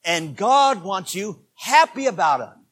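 Husky-voiced preacher in his sixties. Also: high voice, sing-song, measured pace. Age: 60s. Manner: swings between soft asides and loud preaching. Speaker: pastor. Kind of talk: sermon.

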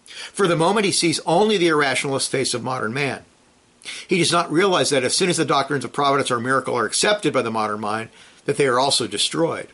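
A man's voice: medium pitch, sharp tone. A man who teaches a weekly Bible study, reading a theology text aloud with emphasis, then commenting with frequent restarts.

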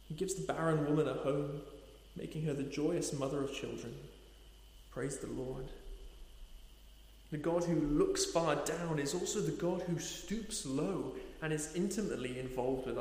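A man preaching a sermon, earnest, asking rhetorical questions and slowing down to stress key points. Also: on the high side, a little mellow. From Nepal. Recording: echoey hall reverb.

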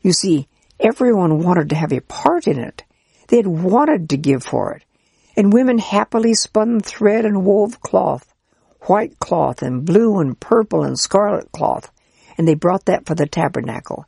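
A gentle, patient old priest , as a storybook reader puts him on, explaining things kindly and earnestly. His voice is husky with a gravelly edge. The recording is close and dry. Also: medium pitch, expressive, a little slow, no room sound, voice pushed up, exaggerated voice. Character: kind, patient old male Israelite priest. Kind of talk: storybook character reading.

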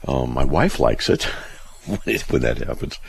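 A man hosting a radio call-in show, with a very deep, gravelly voice from a developing chest cold, talking in a relaxed, chatty way as he explains scripture. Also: slow. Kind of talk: casual radio call-in talk.

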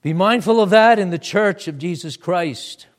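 A man preaching a sermon and leading a prayer, moving from quiet low asides to loud, high exhortation. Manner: deliberate, drawn-out preaching delivery. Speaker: pastor.

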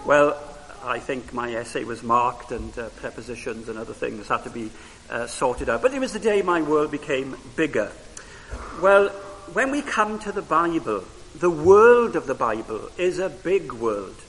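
A man whose first language is Welsh, preaching a sermon in a church in a measured way, with frequent pauses and rising emphasis on key phrases. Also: a little high, fairly bright tone. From Wales. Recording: reverberant hall with faint hiss.

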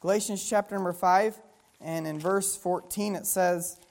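A woman preaching a sermon, reading scripture slowly and asking the listeners to fill in the words. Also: fairly low pitch, fairly even intonation, a little mellow. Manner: call-and-response questions, reading scripture slowly.

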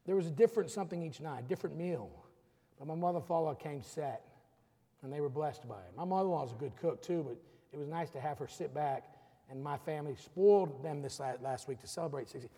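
A middle-aged male pastor preaching, his voice gravelly and somewhat husky. He pushes his points with rising emphasis. The sound rings with the long reverb of a church sanctuary. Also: fairly high pitch, fast, warm tone. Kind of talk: sermon.

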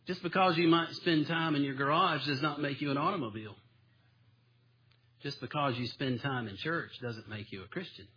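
A man's voice: fairly high pitch, moderate pace, highs cut off, slight background noise. A man preaching a sermon in a church, folksy and self-deprecating, with homespun stories and plain illustrations. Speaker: pastor. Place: church sanctuary.

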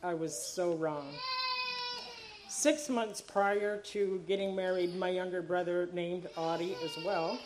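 Woman in her sixties, Dutch her first language, with a fairly low voice, reading her testimony in church. Her delivery is earnest and at times close to tears, with a faint hiss behind her. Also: steady tone, slow. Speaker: church member giving a baptism testimony.

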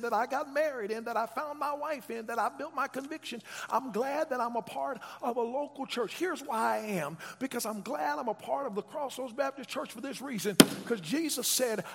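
A male American pastor delivers an emphatic sermon in a high, husky voice. He builds on repeated phrases and calls on listeners to answer him, with long reverberation from a large hall around his words. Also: a bit bright. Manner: emphatic, repetitive, call-and-response preaching. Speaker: pastor preaching to a congregation. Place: large reverberant room, live preaching to an audience.

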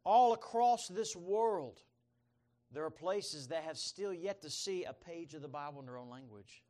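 A middle-aged man, preaching and telling his testimony in a folksy storytelling manner, swinging between loud emphasis and quiet asides. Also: on the high side, lively intonation, a little slow. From Bahamas, raised by American missionary parents. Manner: folksy, storytelling, calls for amens. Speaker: missionary preacher. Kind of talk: sermon, personal testimony.

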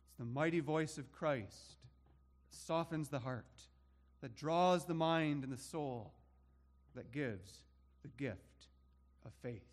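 Slow, high-voiced male preacher. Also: long reverb tail. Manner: slow, emphatic preaching with rhetorical questions. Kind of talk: sermon.

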